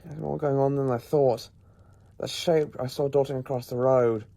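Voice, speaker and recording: A man playing an analytical, protective professor in an audio drama, measured and musing aloud. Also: mid-range voice, warm tone, no room sound. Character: calm, analytical male professor and protector battling the supernatural.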